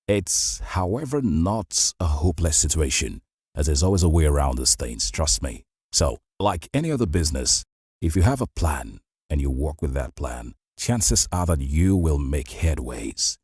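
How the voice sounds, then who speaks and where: A man with a Nigerian accent and a husky voice, giving a conversational, motivational advice monologue to listeners as a show host. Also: very deep voice, darkish tone, no room sound, studio quality. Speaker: voice-over artist hosting a show.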